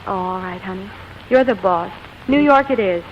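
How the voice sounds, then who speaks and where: An actress playing a spirited, quick-tempered woman in a 1940s radio comedy, with dramatic delivery. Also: mid-range voice, highs cut off, lightly acted. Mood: affectionate. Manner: tart, dramatic. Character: spirited, quick-tempered woman performer, tender when in love. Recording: faintly hissy 1940s radio broadcast recording.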